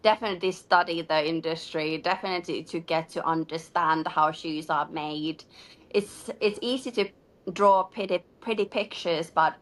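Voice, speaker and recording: A woman's voice: fairly low pitch, normal speed. A woman from Finland, a shoe designer, answering interview questions in a chatty way, full of "like" and light laughs. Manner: chatty, many "like" fillers, laughs between phrases.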